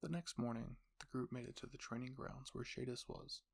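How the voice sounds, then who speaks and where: A man narrating scripted fan fiction as the host, with a gravelly, husky voice. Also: mid-range voice, a little fast.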